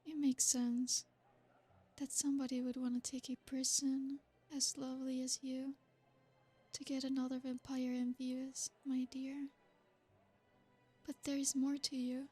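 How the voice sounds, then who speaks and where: A woman voicing an ancient, possessive royal vampire in an ASMR roleplay. She speaks slowly and seductively, with soft whispered asides. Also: high-pitched, monotone, faint music behind. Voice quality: breathy, soft-spoken. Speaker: ASMR creator.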